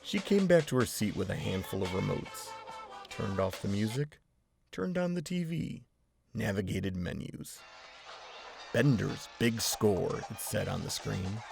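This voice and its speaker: A man reading a story aloud in steady narration. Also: on the low side, moderate pace, creaky, music in the background. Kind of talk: audiobook-style story reading.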